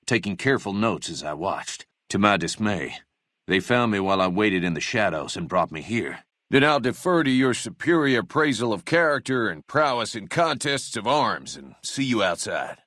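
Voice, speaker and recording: Fussy, meek deputy, lowish dry voice. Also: male voice, sing-song, moderate pace, a bit bright, dry recording. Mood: plaintive, pompous, then evasive, flattering. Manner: fussy, wordy, mannered politeness with hesitant stammers. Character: meek, self-important small-town deputy, cowardly and fussy. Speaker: video game character. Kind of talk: scripted game dialogue acting.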